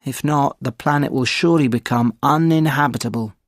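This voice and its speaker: A man giving a measured, opinionated sample answer in a scripted exam speaking recording. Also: mid-range voice, no echo, studio quality.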